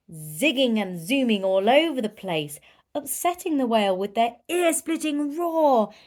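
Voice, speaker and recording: Female children's story reader: unhurried delivery, very animated pitch, emphatic and dramatic. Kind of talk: expressive children's picture book read-aloud.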